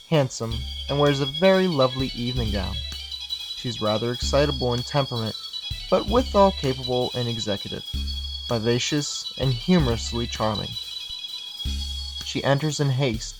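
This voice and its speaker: Guy narrating an old-style radio play script, mid-pitched voice, music behind him. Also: low-quality recording. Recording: music under the voice.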